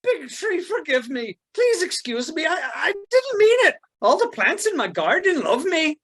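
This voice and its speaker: A woman playing an earnest, anxious but brave hobbit servant in a script table read, pleading and excitable. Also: extremely high pitch, measured pace, exaggerated voice.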